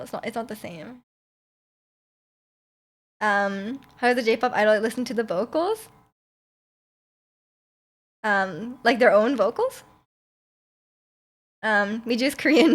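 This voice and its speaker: A woman's voice: on the high side, animated, takes it slowly, pauses often. A young woman streamer chatting casually with her viewers, laughing often and restarting her sentences. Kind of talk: casual chat reading viewer comments.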